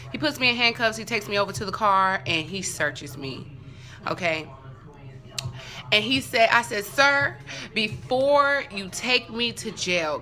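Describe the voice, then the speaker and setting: Female storytime vlogger: forceful bright tone, mid pitch, animated, foul-mouthed, chatty and comedic with asides to the audience. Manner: animated, profane, acting out dialogue. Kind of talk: casual comedic storytelling to viewers.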